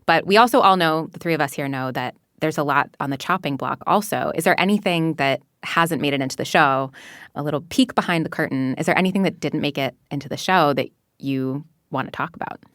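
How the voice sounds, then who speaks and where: A woman's voice: sits low, fairly quick, a bit bright, dry recording, studio quality. A woman interviewing podcast colleagues with conversational questions.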